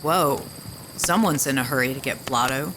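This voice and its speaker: A woman's voice: rumbling low, normal speed, noisy background. A young woman playing a teasing, caring bandmate.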